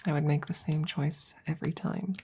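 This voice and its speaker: A woman playing an anxious, devoted scientist in an intimate ASMR roleplay, rambling haltingly in a soft, breathy voice that often slips into whispers, close to the microphone. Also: low-pitched, fairly even intonation, a little hiss or hum, close to an everyday voice. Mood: worried, resolute.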